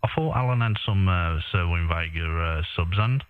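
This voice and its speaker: A man's voice: sits low, moderate pace, clear, resonant tone. A British man chatting casually in a matey, colloquial way as an amateur radio operator. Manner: matey, colloquial, tag questions. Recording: echoey, narrow-band ham radio transmission.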